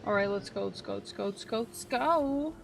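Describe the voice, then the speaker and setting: A woman reacting to a video game in casual, slangy chat, playful and quick to exclaim. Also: medium pitch, expressive, creaky, soft background music. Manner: slangy, playful, exclamatory, sing-song asides.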